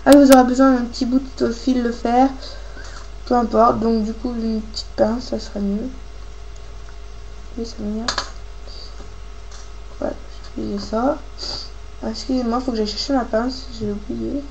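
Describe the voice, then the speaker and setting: A woman with a cold gives a casual, halting hands-on craft tutorial, thinking aloud as she works. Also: on the high side, level tone, highs cut off. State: has a cold, coughing. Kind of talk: casual hands-on tutorial, talking while working. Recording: clearly noisy homemade recording with clicks.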